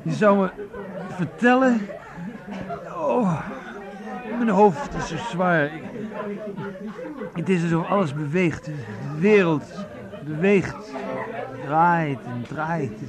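Anxious drunk villager: high-pitched, slow and stumbling, radio drama. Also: male voice, lively intonation, crowd noise. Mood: dazed, confused. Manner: halting, stammering, repeating himself. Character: drunk, dazed village man, anxious and confused. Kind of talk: Dutch radio play acting.

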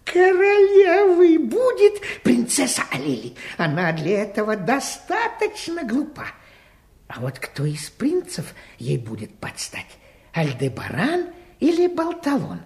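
A woman playing a scheming, flattering court minister in a theatrical fairy-tale audio play, fussy and ingratiating. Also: on the high side, a little slow, heavily acted. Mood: scheming, pondering. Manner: hesitant musing, theatrical, ingratiating.

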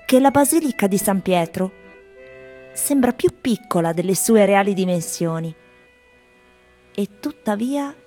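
A woman acts out a wry, plaintive tourist in a theatrical radio piece. The voice sits at a medium pitch, with music clearly playing behind it. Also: a little mellow, lightly acted. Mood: solemn, wondering. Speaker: actress.

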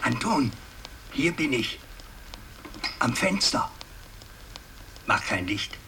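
An old aristocratic patriarch in a 1960s radio play. He declaims in a mid-pitched, very animated voice, imperious one moment and evasively muttering the next, with halting breaks. There is a faint layer of noise on the aged recording. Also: creaky, exaggerated voice. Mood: subdued. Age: older. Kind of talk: 1960s German radio drama acting.

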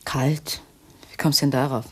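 Curt, husky, guarded wife. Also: female voice, extremely low pitch, level tone, moderate pace. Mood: evasive. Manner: curt, evasive, dry. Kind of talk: 1960s German radio play acting.

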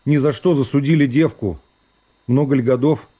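A man's voice: medium pitch, normal speed, warm tone, lightly acted. A man voicing a blunt, scolding older peasant woman convict in a radio reading of a novel. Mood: indignant.

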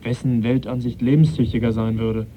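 An actor playing an earnest, middle-aged male naturalist in a German radio play, measured and educated. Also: mid-range voice, flat delivery, mellow, slight background noise, natural voice. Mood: pensive, concerned. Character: earnest, conscientious male naturalist and lecturer, troubled.

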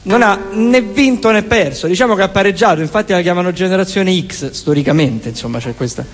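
A man's voice: high-pitched, brisk. A man performing wry, satirical storytelling, moving between spoken monologue and song in a conversational manner. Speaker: singer-songwriter and stage performer. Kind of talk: theatrical spoken monologue and sung storytelling songs with scat refrains. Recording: music throughout, plucked guitar. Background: acoustic guitar accompaniment.

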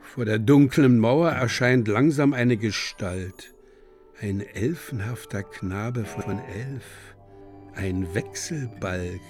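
An actor playing a solemn narrator who reads dreamlike stage directions in a slow, deliberate, measured way for a literary radio drama. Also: male voice, on the low side, over music. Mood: hushed, solemn.

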